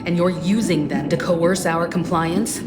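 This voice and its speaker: A woman's voice: lowish voice, a little slow, natural voice. An actress playing a composed, firm woman leader in a video game, formal and pointed in her speech. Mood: stern, resolute. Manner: formal, pointed, self-possessed. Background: loud background music.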